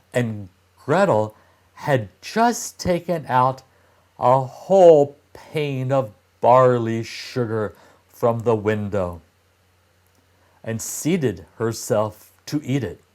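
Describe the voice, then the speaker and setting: A man reading a fairy tale aloud for young children in deliberate phrases, with frequent pauses and expressive emphasis. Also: mid-range voice.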